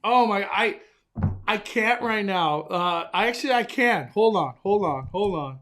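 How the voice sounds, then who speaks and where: A young man hosting a casual live stream, excitable and enthusiastic, often stumbling and restarting his sentences. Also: sits high, unhurried. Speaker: livestream host.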